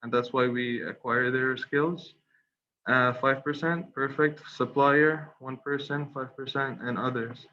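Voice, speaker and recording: A young man from Qatar giving a webinar presentation as a guest speaker. He talks with many fillers, pauses and restarts, and polls the audience. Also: mid-range voice, fairly even intonation, pushed and bright. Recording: slightly dull online call audio with faint noise.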